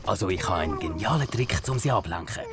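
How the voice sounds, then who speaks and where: A man playing an excitable, good-natured, bumbling zoo director and father in a children's story, with a Swiss German accent and a theatrical, playful delivery. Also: sits low, quick pace, heavy background noise. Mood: embarrassed, boastful.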